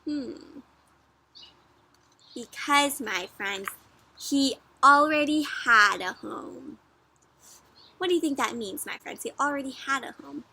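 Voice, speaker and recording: A woman doing an interactive read-aloud for young children, expressive and encouraging, often putting questions to her listeners. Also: high voice, slow pace, gravelly, long pauses. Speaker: children's storybook read-aloud host and teacher.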